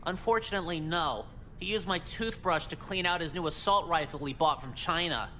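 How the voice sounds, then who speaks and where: A man's voice: a little high, brisk, pushed and bright, slight background noise. A man voicing an awkward, whiny teenage boy outcast who is easily flustered, in a video game. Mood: awkward, sheepish.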